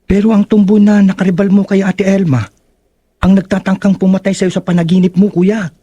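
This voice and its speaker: Audio drama role: worried young brother, high-pitched, slightly rough, dark-toned, questioning. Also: male voice, level tone, brisk, lo-fi sound. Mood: worried, puzzled. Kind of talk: horror audio drama acting.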